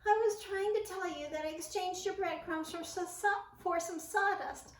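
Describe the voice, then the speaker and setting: Woman reading small boy mouse, squeaky, tearful, sorry; high voice, distant mic. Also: steady tone, normal speed. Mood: confessing. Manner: remorseful, pleading. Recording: roomy sound from a distant mic.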